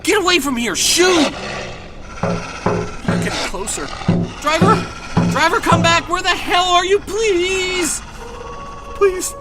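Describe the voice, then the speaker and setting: A man acting a frightened, indignant carriage passenger in a horror audio drama, agitated and demanding, over loud background noise. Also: very high voice, takes it slowly, long pauses. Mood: terrified, pleading.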